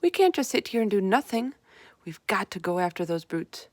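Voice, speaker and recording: A woman's voice: medium pitch, very animated, moderate pace, no room sound, natural voice. A woman voicing a determined, feisty young girl in a children's storybook, speaking with emphatic insistence. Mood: indignant. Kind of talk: children's storybook read-aloud.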